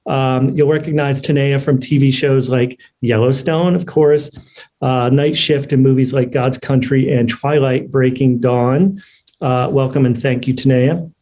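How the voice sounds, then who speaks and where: A man hosting an informal online ceremony over a video call, with a halting, hesitant delivery. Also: mid-range voice, steady tone, mellow. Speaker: host of an online award ceremony.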